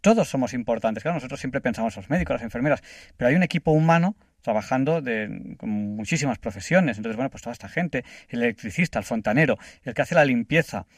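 A man's voice: medium pitch, animated, fast talker, no echo. Dry-sounding male radio host. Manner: reflective, affirming, with hesitations. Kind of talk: radio interview.

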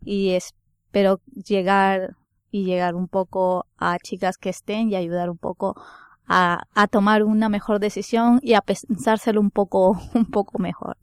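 A woman's voice: mid-range voice, little pitch movement, a little slow, smooth, no echo, studio quality. A young woman with an Ecuadorian accent giving a polite, earnest personal testimony as a radio guest, a little hesitant. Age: young adult.

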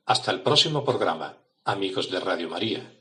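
A man reading a scripted report on a town's festivals for a radio program, in an even, informative way. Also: deep voice, brisk. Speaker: radio contributor. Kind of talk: scripted local-history narration.

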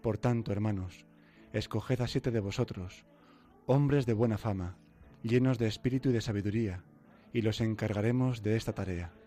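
Mellow, lowish-voiced young Castilian man. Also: moderate pace, faint music behind. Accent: Castilian Spanish. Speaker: radio co-host, seminarian. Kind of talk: scripture reading and conversational radio. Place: radio studio. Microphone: studio microphone.

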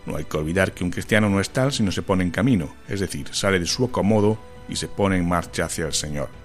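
Really low male voice reading news voiceover, calm and formal, light music under it. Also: quick pace. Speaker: news narrator. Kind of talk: scripted voice-over narration.

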